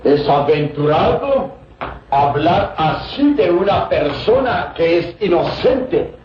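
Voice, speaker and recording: A scornful villain who poses as innocent. His fairly high male voice is haughty and deliberate, in old radio-serial style. The sound is echoing and dull. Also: very animated, a little hiss or hum. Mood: indignant. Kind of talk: old radio drama acting.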